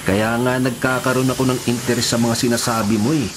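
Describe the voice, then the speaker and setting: An actor playing a big, steady male cell leader who speaks calmly and with authority in a radio drama. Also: medium pitch, brisk, noisy background, natural voice. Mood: persuasive. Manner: calm, firm, authoritative. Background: music.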